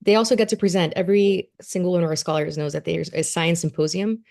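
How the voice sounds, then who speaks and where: A woman with a low, gravelly voice talks a community group through her youth science program. She is earnest and loose, with plenty of ums and restarts, and the fry stays steady under her words. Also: fast talker. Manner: earnest, many fillers and restarts. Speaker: education program coordinator. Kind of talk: presentation at a community meeting.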